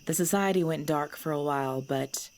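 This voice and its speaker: A woman playing a centuries-old vampire mistress in an ASMR audio drama, speaking formally in a breathy, measured voice. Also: low voice, slight background noise. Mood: grave, resolute. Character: centuries-old vampire mistress, female, cold ruler turned protective.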